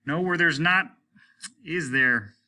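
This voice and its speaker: A man reading a story and voicing an irritable, weary, aging rocket captain who is commanding and scornful, snapping out indignant questions in a sharp voice. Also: a little high, slow pace, voice pushed up, heavily acted. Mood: brooding. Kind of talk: audiobook character voice.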